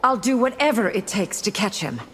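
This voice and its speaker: An actress playing a determined, distrustful young warrior daughter in a fighting game, earnest and insistent. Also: female voice, medium pitch, lively intonation, fairly quick, in a large hall, noisy background, natural voice. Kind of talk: video game fighter dialogue.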